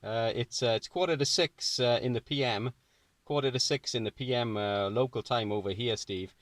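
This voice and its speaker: A man's voice: mid-range voice, moderate pace, fairly bright tone, no echo. A man with a British accent chatting casually as a radio operator, repeating phrases for clarity. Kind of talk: casual amateur radio chat. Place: inside a parked or mobile car.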